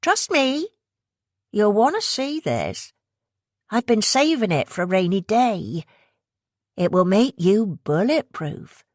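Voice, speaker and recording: A woman's voice: mid-range voice, big pitch swings, darkish tone, no echo, clean studio sound, voice pushed up. A woman voicing a pushy, scheming woman with a heavy West Country accent who talks in a rush with a subtly mocking tone. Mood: sly. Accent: West Country English. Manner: subtly mocking, rushed talk.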